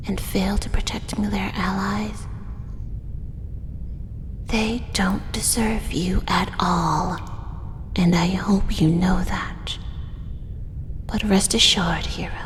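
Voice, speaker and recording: A woman voicing a possessive female drider in an audio roleplay, whispering slowly and softly in a doting, controlling way. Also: mid-range voice, big-room sound, slight background noise. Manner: soft, slow, whispered, doting yet possessive.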